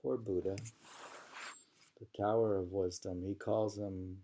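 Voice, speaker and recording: A man reads poetry and comments on it in a low, gravelly voice. He lingers over the words in a reflective way, at a very unhurried pace. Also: fairly even intonation, dark tone, a little hiss or hum. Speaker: poetry reader. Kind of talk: poetry reading with casual commentary.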